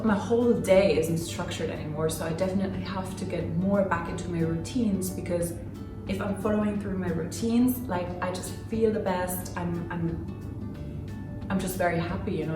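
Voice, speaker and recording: A woman's voice: fairly low pitch, normal speed, roomy sound. Casual vlog girl in her 20s, lots of "like" and "um", sounds a bit far off, music playing behind. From Finland, raised in Germany. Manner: chatty, many fillers and little laughs. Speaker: vlogger. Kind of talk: casual get-to-know-me Q&A. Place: home room.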